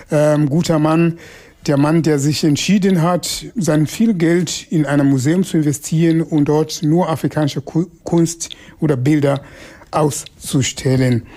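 A man, a Makonde speaker, talking through an interview in a halting way with many fillers and restarts. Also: on the high side, mellow. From Mozambique. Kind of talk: casual spoken commentary on an interview.

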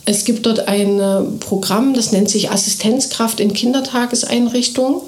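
A woman who runs a kindergarten, answering radio interview questions eagerly and fluently, often filling pauses with short hesitation sounds. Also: a little high, a little mellow. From Thuringia, Germany. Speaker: kindergarten director. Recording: echoey room.

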